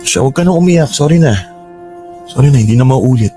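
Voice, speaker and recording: An actor playing an exasperated, pleading young Filipino boyfriend in a radio drama, protesting emotively. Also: male voice, mid-range voice, lively intonation, brisk, mellow, lightly acted. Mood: pleading, conciliatory. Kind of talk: Tagalog radio drama acting. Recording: music bed under dialogue.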